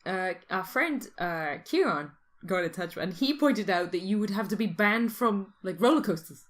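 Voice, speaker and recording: A woman's voice: medium pitch, animated, moderate pace. A woman co-hosting a podcast in casual, teasing, informal conversation. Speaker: podcast co-host.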